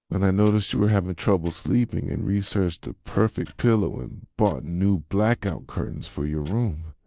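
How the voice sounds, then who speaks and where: Man performing an obsessive boyfriend, very low soft voice, dry close sound, intimate, pleading and accusing, ASMR roleplay. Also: dry recording. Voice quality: soft, low, breathy at times. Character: possessive, obsessive yandere boyfriend, hurt and manipulative. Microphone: close microphone, dry sound.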